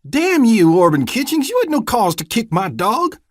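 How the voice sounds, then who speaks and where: A man's voice: high-pitched, very animated, normal speed, no echo, clean studio sound, voice pushed up. A man voicing a frightened rural man who pleads in a quavering voice. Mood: outraged.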